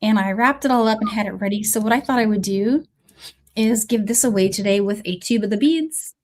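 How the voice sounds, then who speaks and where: A woman's voice: medium pitch, a little fast, a little mellow, a little hiss or hum. A woman with a cold, coughing now and then, hosting a casual livestream beading tutorial and chatting with viewers by name.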